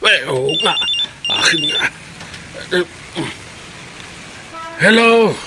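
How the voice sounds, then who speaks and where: A man's voice: high voice, animated, drawn-out words, very noisy, exaggerated voice. A Filipino man playing a folksy, grumbling elderly father who mutters and interjects in a radio drama. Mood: animated, agreeable.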